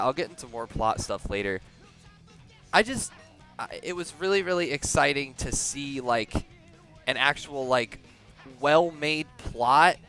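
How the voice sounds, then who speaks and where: A young man giving a casual movie review close to the microphone, halting and often repeating words as he starts over. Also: medium pitch, a bit bright. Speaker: video reviewer. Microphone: very close to the mouth.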